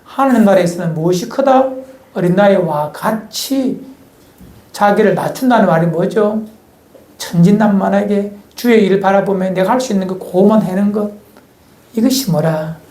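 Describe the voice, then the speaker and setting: A man's voice: high-pitched, dark tone. A male pastor with a Gyeongsang Korean accent preaching a sermon in a church, folksy and asking the congregation questions. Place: church sanctuary. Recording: echoey church hall.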